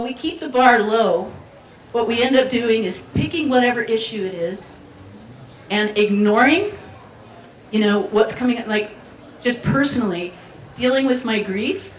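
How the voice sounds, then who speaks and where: A woman with a fairly high voice gives a playful, chatty keynote to a live audience. She is picked up from a distance in a roomy hall, with faint room noise behind her. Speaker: festival speaker. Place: community event venue. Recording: roomy hall sound from far off, faint ambience.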